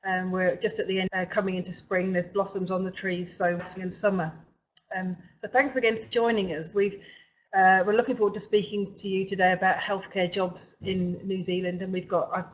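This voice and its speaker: A middle-aged woman hosting an informal webinar, speaking haltingly with frequent pauses mid-sentence. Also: mid-range voice, flat delivery. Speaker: webinar host for a recruitment company. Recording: dull, lo-fi webinar audio.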